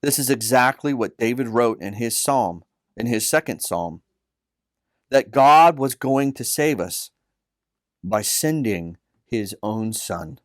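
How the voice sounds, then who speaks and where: Slow, deliberate male story narrator. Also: medium pitch, lively intonation, no room sound, clean studio sound. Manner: earnest, deliberate phrasing. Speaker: author and narrator. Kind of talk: scripted children's Bible story narration.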